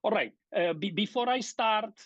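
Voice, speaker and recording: A middle-aged man from Sardinia with an Italian accent, giving an academic seminar over a video call in a hesitant, measured way. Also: sits high, lively intonation, fairly bright tone, dry recording. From Sardinia, Italy. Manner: measured, hesitant, many fillers and restarts. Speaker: guest lecturer. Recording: dull, dry video-call audio.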